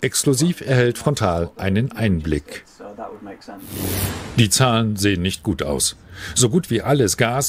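A man narrating a scripted TV news documentary in a measured, even delivery. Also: lowish voice.

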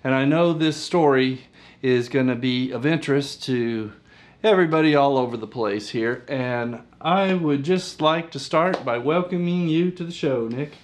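A man in his forties hosting a studio interview, chatty and quick to laugh, asking long rambling questions into a lapel mic. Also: mid-range voice. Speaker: interview host. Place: small TV studio with green screen.

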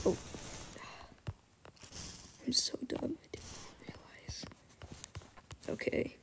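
A woman with a scratchy, quiet voice, rambling casually while playing a game. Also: drawn-out words, whispered. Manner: quiet, soft-spoken, trailing off. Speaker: gaming video creator. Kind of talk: rambling casual commentary over gameplay.

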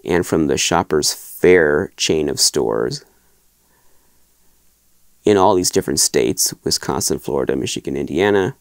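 A man in his thirties hosting a collectibles show, explaining to camera from a desk in a small wood-paneled room in a thoughtful, measured way with restarts. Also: extremely low pitch, lively intonation, dry recording, studio quality. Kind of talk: casual explanatory talk to camera. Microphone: fixed camera at desk, framed from chest up.

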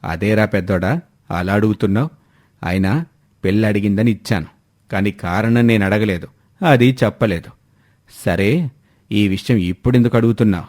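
Man putting on deep-voiced, placating old father. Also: moderate pace, long pauses, a little mellow, close to an everyday voice. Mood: puzzled. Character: anxious, placating elderly father of grown children. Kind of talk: animated moral-story character voice.

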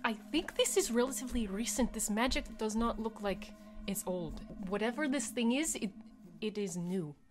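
A woman's voice: fairly high pitch, animated, moderate pace, over music. A woman player talking and roleplaying in a tabletop fantasy game. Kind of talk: in-character tabletop roleplay chat.

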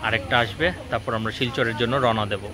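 A man's voice: on the low side, fairly even intonation, fast. A man in his thirties from Northeast India, telling a casual travel vlog straight into a phone camera as he goes. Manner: chatty, narrating each step of the trip. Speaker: travel vlogger. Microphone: phone camera held at arm's length. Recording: loud music playing under the voice.

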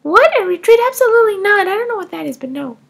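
A woman voicing a defiant, bratty kindergarten girl in a comedy skit, with a high, whiny child's voice and a sassy, stubborn way of talking. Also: moderate pace. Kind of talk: comedy skit role-play.

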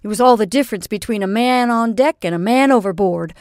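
A woman voicing an earnest old male sea captain who talks in loud, salty seafaring phrases. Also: mid-range voice, normal speed, dry recording, clean studio sound, natural voice.

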